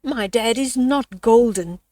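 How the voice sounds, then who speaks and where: A woman's voice: on the high side, slow, warm tone, no room sound. A woman voicing a baboon's sweet little daughter in a children's storybook, earnest and matter-of-fact. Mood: earnest, doubtful. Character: baboon's sweet little daughter, plain-spoken about dad. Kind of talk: children's storybook read-aloud.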